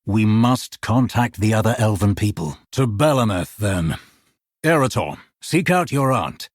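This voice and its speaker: An actor playing a solemn, burdened elven leader in a video game, speaking gravely and formally with a husky voice. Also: male voice, fairly low pitch, animated, unhurried, no echo, studio quality. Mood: grave resolve. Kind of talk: dramatic video game voice acting.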